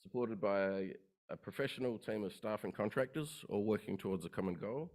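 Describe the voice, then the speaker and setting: Low-voiced man giving an investor pitch. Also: darkish tone. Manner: brisk, rehearsed, listing facts. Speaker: company presenter. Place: conference room.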